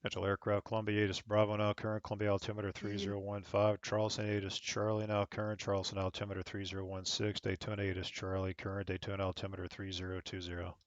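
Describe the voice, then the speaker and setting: A man's voice: on the low side, completely flat delivery, fast talker, few pauses, no room sound, lo-fi sound. A man working as an online air traffic controller, switching between radio phraseology and casual explanatory commentary. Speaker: air traffic controller on a flight simulation network, streaming.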